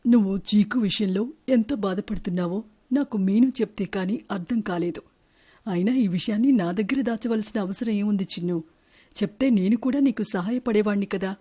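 Wronged husband bird for a cartoon moral tale, played by a woman, mid-pitched, probing and confrontational. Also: normal speed, warm tone, slightly rough, lightly acted. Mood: concerned. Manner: confrontational, questioning. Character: suspicious, wronged male bird husbands and lovers, accusing. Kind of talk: animated Telugu moral-story dialogue.

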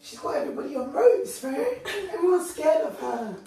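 A young woman telling gossip in slangy, animated chat. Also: sits high, a little fast, vocal fry, distant mic. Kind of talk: casual gossip. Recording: very echoey room, off mic, chatter behind.

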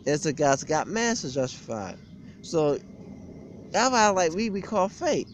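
Young man ranting, animated and high-pitched. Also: normal speed, a little hiss or hum. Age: young adult. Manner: blunt, repetitive, aggrieved. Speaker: gym vlogger. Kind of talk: informal opinionated rant to camera. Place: outdoors beside a brick building on a street. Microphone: phone or webcam at arm's length.

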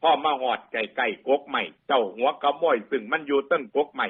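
A man's voice: medium pitch, moderate pace, husky, phone-quality sound. A man with an Isan accent telling a moral folk tale to lay listeners in a colloquial, repetitive oral style. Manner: colloquial, repeats phrases for emphasis. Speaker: Buddhist storyteller addressing lay listeners.

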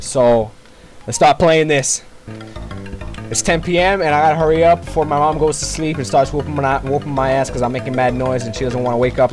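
A young man doing casual gameplay commentary, talking to himself in profane, slangy, excitable bursts. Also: mid-range voice. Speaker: gamer. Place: at home at night. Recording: music behind the voice. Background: music.

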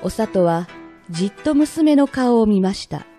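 A woman narrating an old Edo tale for a radio drama in polite, measured storyteller phrasing. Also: mid-range voice, expressive, mellow, soft background music.

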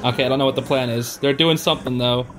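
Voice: mid-range voice, fairly even intonation, fast, slight background noise. A young adult hosting casual gaming and reaction commentary, gushing in slangy exclamations. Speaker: host of a gaming reaction channel. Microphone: home recording on a makeshift travel setup. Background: music.

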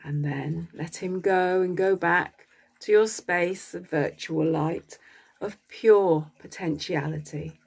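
A woman narrating a guided meditation as a coach, speaking gently in short phrases with long pauses between them. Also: lowish voice, vocal fry, slightly rough.

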